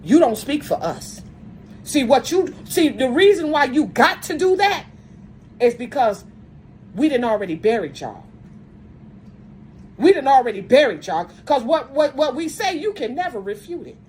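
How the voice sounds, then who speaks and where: Woman, angry rant to camera, confrontational, blunt, stumbling restarts, higher voice, faint background noise. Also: very animated, normal speed, darkish tone, poor audio. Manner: says she has a strong voice. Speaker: video commentator.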